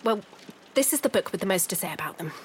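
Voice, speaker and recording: A woman's voice: medium pitch, lively intonation, fast, noisy background, natural voice. An actress playing a refined, determined, anxious wife of a missing man in a 1930s noir audio drama, formal and articulate. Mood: composed, informative. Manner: formal, articulate, clipped politeness. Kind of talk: scripted period noir audio drama acting.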